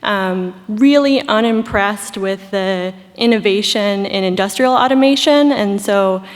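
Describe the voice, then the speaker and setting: A woman's voice: medium pitch, measured pace. A woman in the audience putting a question to the panel at a live event. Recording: reverberant conference hall.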